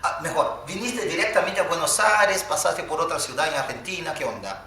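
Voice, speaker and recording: A man's voice: fairly high pitch, moderate pace, bright, forceful tone. A man from Spain hosting a live interview, asking long, rambling questions. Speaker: host. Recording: echoey room, far from mic.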